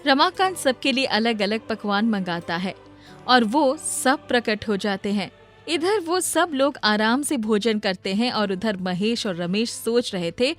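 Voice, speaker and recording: A woman's voice: fairly high pitch, fairly bright tone. A woman reading scripted moral-story narration as the storyteller. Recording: music behind the voice.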